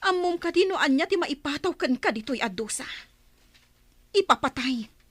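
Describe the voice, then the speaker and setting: A woman's voice: sits high, expressive, moderate pace, dry recording. A woman playing a sorrowful, principled chieftain's daughter in a radio drama, speaking earnestly. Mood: reproachful.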